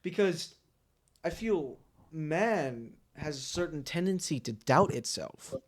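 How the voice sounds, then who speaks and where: A man's voice: on the high side, animated, slow pace. A man hosting a roleplay talk show over game voice chat, musing and rambling with frequent laughs.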